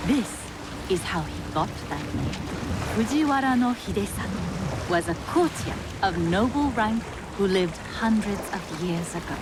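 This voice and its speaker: An actress playing a gracious, composed Japanese noblewoman and widow in a video game, speaking formally and with measured care. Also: mid-range voice, animated, background noise, lightly acted. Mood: earnest storytelling. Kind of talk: video game cutscene acting. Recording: loud music behind the voice. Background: music.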